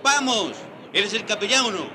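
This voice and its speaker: An actor in a radio drama playing a brash, needling Spanish conquistador, with crowd sound effects behind. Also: male voice, sits high, expressive, moderate pace. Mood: goading.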